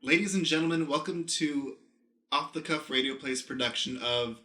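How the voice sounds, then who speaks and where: A man's voice: a little high, moderate pace, sharp tone, echoey room, soft background music. A man hosting an improv podcast, announcing in a presenter style with casual asides. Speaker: podcast host.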